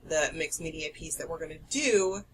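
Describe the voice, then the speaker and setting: Loud, foul-mouthed woman podcast host. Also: deep voice, expressive, normal speed, recorded from a distance, a little hiss or hum. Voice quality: loud, carrying voice. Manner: chatty, swears freely, laughs often. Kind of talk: casual chat. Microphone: desk podcast microphone.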